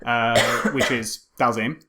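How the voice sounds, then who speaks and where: A man co-hosting a casual film review, explaining in a wry, halting way and often repeating words. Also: mid-range voice, steady tone, very noisy. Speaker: podcast co-host.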